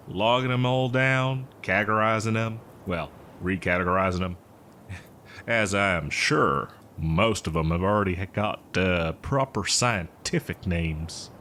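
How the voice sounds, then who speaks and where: A man's voice: deep voice, pushed and bright, slight background noise, heavily acted. A man playing an eccentric, cheerful botanist who survived from before the apocalypse, rambling hesitantly in a folksy roleplay monologue. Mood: musing, explanatory. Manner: hesitant, rambling, folksy, with chuckles and drawn-out words. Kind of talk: roleplay audio drama monologue addressed to listener.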